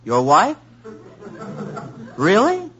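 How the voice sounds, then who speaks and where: A brash, wisecracking reporter. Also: male voice, high-pitched, huge pitch swings, extremely slow, sharp tone, exaggerated voice. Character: brash young male newspaper reporter. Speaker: actor in a radio drama. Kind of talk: 1940s radio acting. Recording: muffled old radio broadcast.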